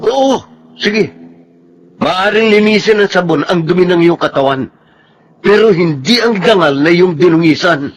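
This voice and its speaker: A Filipino actor playing a hurt, jealous boyfriend, reproachful and solemnly dramatic, in a radio drama. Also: male voice, high voice, measured pace, a little hiss or hum, low-quality recording. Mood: bitter.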